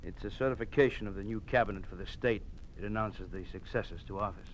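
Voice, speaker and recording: A man acts out a cold enforcer of a regime in a vintage radio play, on a faintly noisy recording. He is curt and smug, threatening in a fairly low voice. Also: fast talker, natural voice. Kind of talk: old-time radio drama acting.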